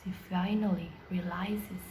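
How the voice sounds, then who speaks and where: A woman reading poetry aloud in a measured way, pausing long between phrases. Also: on the low side, steady tone, warm tone. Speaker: poetry reader. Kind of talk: slow poetry recitation. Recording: roomy echo with faint background noise.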